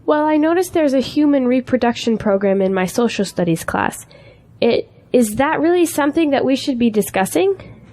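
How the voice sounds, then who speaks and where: A woman's voice: high-pitched, animated, moderate pace, dark tone. A woman playing an eager, idealistic young schoolteacher in an audio drama, with an earnest, polite way of talking. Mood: concerned, hesitant.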